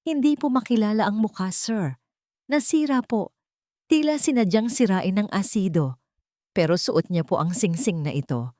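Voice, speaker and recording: A woman's voice: mid-range voice, lively intonation, warm tone, no echo, studio quality, voice pushed up, natural voice. Woman voicing a grave, formal Filipino police inspector. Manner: deferential, measured official phrasing.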